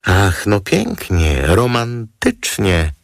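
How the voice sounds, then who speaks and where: A man's voice: medium pitch, big pitch swings, unhurried, vocal fry, no room sound, studio quality, pitched above the performer's own voice, exaggerated voice. A man voicing a gushing, theatrical young female model with a cooing, coquettish manner in an audiobook.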